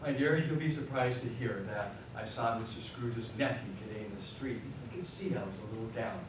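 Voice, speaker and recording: An actor playing a gentle, grieving father in a live radio drama, tender and halting. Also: medium pitch, lightly acted. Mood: tender, brave. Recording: echoey live hall, distant mic, noisy.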